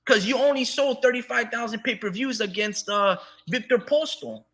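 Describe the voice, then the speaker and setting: A man hosting a boxing talk show, arguing in an animated, profane way while losing his voice a little. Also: very high-pitched, moderate pace. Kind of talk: heated casual boxing debate.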